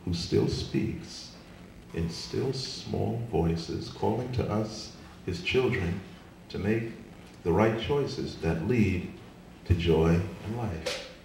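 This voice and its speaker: Echoey, slow-paced man reciting poetry. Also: sits low, gravelly. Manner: theatrical, emphatic delivery with big swells and hushed drops. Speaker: spoken word poet. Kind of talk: dramatic spoken word poetry recital. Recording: echoey room from far off, faint noise.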